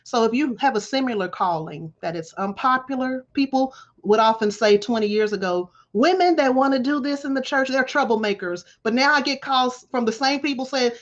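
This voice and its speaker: A woman's voice: high-pitched, normal speed, hardly any pauses, lo-fi sound. A woman in her forties, a college dean from Texas, giving reflective testimony in an interview with emphatic, preachy phrasing. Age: 40s.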